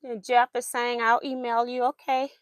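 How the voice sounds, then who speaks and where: Brief: high-pitched woman, chatty livestream host, slightly strained voice, dry close sound. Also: level tone, normal speed, dry recording. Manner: chatty, laughs often, reads viewer comments aloud. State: voice going in and out. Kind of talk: casual livestream chat while sewing.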